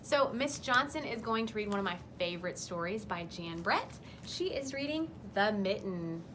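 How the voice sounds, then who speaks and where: A woman's voice: mid-range voice, expressive, normal speed, background noise. A woman hosting a children's story program, speaking directly to young listeners. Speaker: host of a bedtime story program. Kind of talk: addressing children, presenting.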